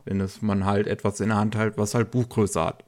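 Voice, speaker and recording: A man with a cold hosts a relaxed news podcast. His voice sits a bit low, and he talks casually with lots of fillers and restarts. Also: a little fast. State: has a cold. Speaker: podcast host.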